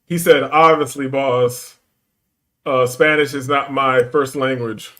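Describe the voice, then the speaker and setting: A man's voice: medium pitch, steady tone, slow, fairly bright tone. A man hosting a reaction stream, talking bluntly in profane street slang. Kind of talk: casual reaction commentary.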